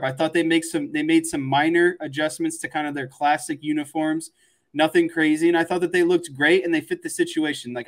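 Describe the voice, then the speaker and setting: Higher-voiced young man chatting about sports. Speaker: sports show co-host. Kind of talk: casual sports chat.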